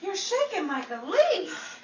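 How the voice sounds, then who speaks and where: A woman's voice: very high voice, big pitch swings, unhurried, heavily acted. A woman playing a sassy, easily scandalized lady in a 1940s-style radio comedy, with theatrical, exclamatory delivery. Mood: exasperated. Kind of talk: 1940s old-time radio comedy acting. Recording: echoey, distant, noisy live room with crowd.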